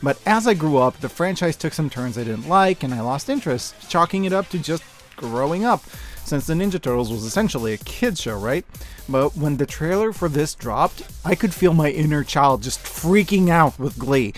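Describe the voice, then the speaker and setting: Male host, 30s, slightly high voice, scripted but informal and emphatic commentary, faint music underneath. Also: animated, moderate pace. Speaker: host of a year-in-review media video. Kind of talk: scripted enthusiastic video-essay commentary.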